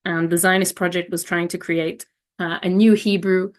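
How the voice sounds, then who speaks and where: A woman's voice: lowish voice, level tone, moderate pace. A young woman from Israel giving a slide presentation, hesitant and full of fillers and restarts.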